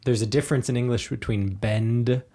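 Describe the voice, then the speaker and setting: A man's voice: medium pitch, brisk. A man, co-hosting a podcast in easy, agreeable conversation. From United States. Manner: agreeable, steady, frequent "yeah" and "mm-hmm". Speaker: podcast co-host. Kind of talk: casual chat.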